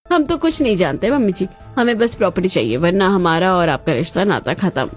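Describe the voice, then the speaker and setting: A woman plays a greedy, jealous elder daughter-in-law from a cartoon story. She speaks in a lowish voice with a haughty, taunting edge, over a music bed. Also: lively intonation, brisk, close to an everyday voice. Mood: demanding. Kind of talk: Hindi cartoon moral-story voice acting.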